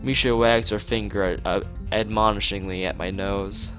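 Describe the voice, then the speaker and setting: A man's voice: fairly low pitch, steady tone, normal speed, a bit bright, faint music behind. A man reading a visual novel aloud in an informal let's play, stumbling over words and restarting phrases, with off-the-cuff asides.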